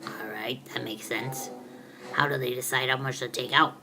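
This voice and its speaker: A woman's voice: rumbling low, fairly even intonation, moderate pace, music in the background, pitched below the performer's own voice. A woman voicing a puzzled, grumbling pirate crewman in a homemade storybook skit.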